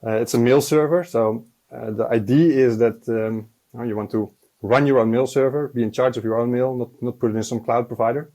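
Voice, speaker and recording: A man, answering questions as a guest developer over a video call, low-key and hesitant with many fillers. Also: steady tone, brisk, dark tone. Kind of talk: casual interview answers. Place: home room, indoors. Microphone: earbud headset on video call.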